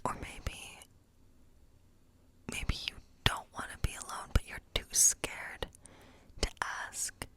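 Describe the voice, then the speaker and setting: A gentle woodland witch, played by a woman, speaks entirely in a soft, breathy whisper. She muses slowly and circles back over her phrases as a tarot reader would. The style is an intimate ASMR fantasy role play. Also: natural voice. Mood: gentle, musing. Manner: slow, gentle, musing, often repeating phrases. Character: kindly woodland witch reading tarot for a guest. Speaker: ASMR performer.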